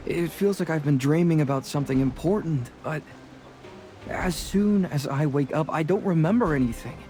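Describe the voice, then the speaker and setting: A man's voice: on the high side, moderate pace, a little mellow, soft background music, close to an everyday voice. A man voicing a roguish, charming, chatty sea adventurer in a fantasy game, with a breezy, self-assured way of talking. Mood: earnest, casual. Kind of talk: voiced dialogue in a fantasy game.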